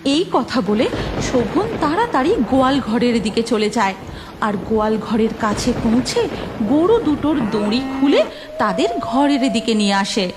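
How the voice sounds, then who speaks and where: A woman narrating a cartoon story in a steady storybook telling manner. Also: on the high side, normal speed, noisy background. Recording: music bed behind the voice.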